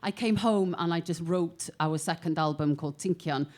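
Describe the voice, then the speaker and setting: A woman from Wales, a singer in a band, chatting on a panel and telling stories in an animated way, often laughing and restarting her phrases. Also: fairly low pitch, moderate pace. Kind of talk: casual panel chat.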